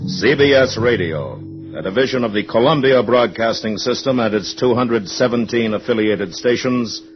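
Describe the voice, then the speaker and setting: A man's voice: medium pitch, normal speed. An American man announcing on 1950s network radio in a formal, stately and dramatic style. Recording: muffled vintage radio broadcast, music behind.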